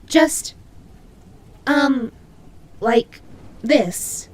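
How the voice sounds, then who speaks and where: A woman's voice: high voice, lively intonation, extremely slow, full character voice. A woman playing a prickly but caring female nightmare demon rabbit in an audio roleplay, speaking to the listener with hesitant, teasing, flustered delivery. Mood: flustered, tsundere.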